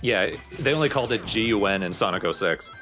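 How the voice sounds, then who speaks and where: A man's voice: on the low side, animated, fast talker, over music. A man giving casual, critical commentary on gameplay as a let's player.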